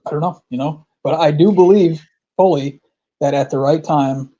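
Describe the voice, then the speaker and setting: A man with a warm, dark voice hosts a loose, friendly interview. He circles back on his words and leans on fillers. The sound is dull and lo-fi. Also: fairly high pitch, normal speed. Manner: relaxed, repeats words, many fillers. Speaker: podcast host. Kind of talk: casual interview chat.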